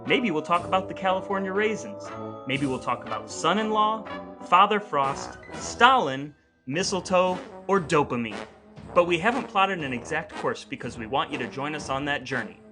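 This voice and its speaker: A man's voice: a little high, expressive, normal speed, over music. A man co-hosting a podcast in a chatty, partly scripted way, with a playfully mock-grandiose manner. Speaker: podcast co-host.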